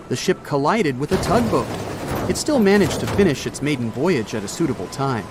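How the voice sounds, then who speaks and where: A man reading scripted documentary narration as the narrator. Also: medium pitch. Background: background music.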